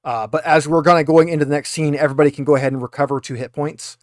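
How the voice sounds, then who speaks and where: A man with a slightly high voice talks quickly as a game master, casually narrating scenes and explaining rules. The sound is dry and close. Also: fairly even intonation, no room sound.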